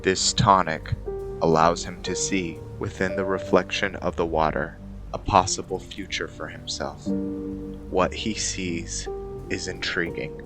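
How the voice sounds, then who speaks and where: A man presenting a fantasy roleplay game playthrough as its host and narrator, speaking in an unhurried way. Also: low voice, fairly even intonation, music in the background. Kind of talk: dramatic story narration, then informal commentary.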